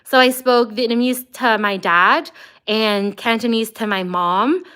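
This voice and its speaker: A woman's voice: on the high side, a little slow, sharp tone, clear, resonant tone. A woman hosting a casual livestream, chatting as she answers viewer comments, with frequent "like" and "um" fillers. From Canada.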